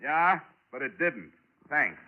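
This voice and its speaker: A man's voice: mid-range voice, very animated, slow. An actor playing a tough, wisecracking insurance investigator who needles his suspects and narrates his own case, in a 1940s radio drama on a dull old broadcast recording. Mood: probing. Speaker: narrator and lead.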